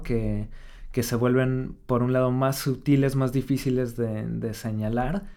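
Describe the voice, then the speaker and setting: A man with a Mexican Spanish accent talks slowly and reflectively as an interview guest. He keeps pausing, restarting his sentences and adding little tag questions as he works through his ideas. His voice sits at an ordinary middle pitch. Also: darkish tone. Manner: halting and thoughtful, many fillers and restarts, frequent tag questions. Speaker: artist guest.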